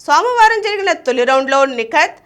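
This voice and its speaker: High, bright woman newsreader. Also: sing-song, a little fast. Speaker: news reader. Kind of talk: scripted news bulletin narration.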